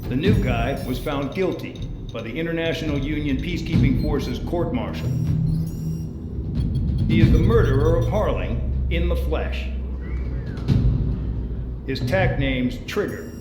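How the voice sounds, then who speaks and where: An actor playing a cocky, gossiping member of a penal squadron, addressing a group in an informal, showy way. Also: male voice, a little high, unhurried, pauses often, natural voice. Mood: relishing. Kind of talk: scripted game dialogue, announcing to a group. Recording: echoey hall, loud music behind.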